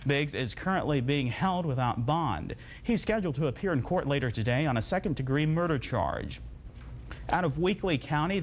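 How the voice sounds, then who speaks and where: A man with an American accent reading scripted news as an anchor. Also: fairly high pitch.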